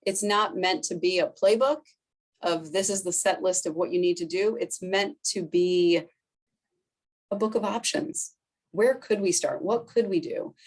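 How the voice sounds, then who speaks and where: A woman in her forties with a Boston accent talks through a conference presentation in a loose, chatty way. Her voice sits a little low, and she keeps checking in with the room as she goes. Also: measured pace. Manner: chatty, conversational, fillers like "right?" and "like". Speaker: foundation director presenting to colleagues.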